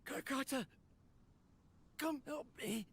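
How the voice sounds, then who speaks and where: A man's voice: extremely high pitch, slow pace, fairly bright tone, a little hiss or hum, exaggerated voice. An actor playing a lonely, obsessive male inventor, weary and ailing, confiding in earnest, melancholy game dialogue. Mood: strained, weakening. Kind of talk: dramatic game voice acting, recorded monologue.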